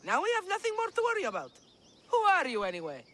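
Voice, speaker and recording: High, very animated village woman. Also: fast, exaggerated voice. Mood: scornful. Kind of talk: video game cutscene acting.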